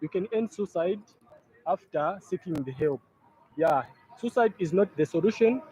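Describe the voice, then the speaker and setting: A young man with a Zambian accent making a spontaneous appeal, halting and repeating his phrases, in a field interview. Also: high-pitched, animated, darkish tone. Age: young adult. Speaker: community member being interviewed. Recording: muffled, noisy field recording with ambience.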